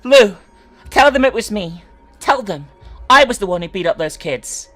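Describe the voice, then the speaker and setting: Someone acting an animated, troubled teenage boy. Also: mid-range voice, moderate pace, soft background music, voice pushed up, lightly acted. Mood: desperate. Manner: earnest, pleading.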